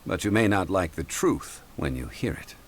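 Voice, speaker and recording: A man voicing a composed, learned gentleman in a video game, speaking formally and deliberately with quiet authority. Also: deep voice, expressive, slight background noise. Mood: hesitant, resigned. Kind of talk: video game dialogue acting.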